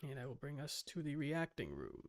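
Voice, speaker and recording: Creaky-voiced streamer muttering casually. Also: medium pitch, very animated, moderate pace. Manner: muttering, scattered, self-interrupting. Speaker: livestreamer. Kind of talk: casual livestream chat, talking to self.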